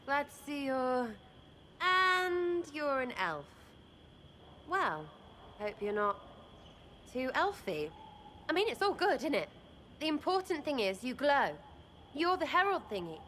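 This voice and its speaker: A woman's voice: high voice, very animated, takes it slowly, long pauses, sharp tone, a little hiss or hum, heavily acted. A woman playing a flippant, slangy young elf archer in a video game. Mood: flippant, brash. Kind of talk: video game character acting.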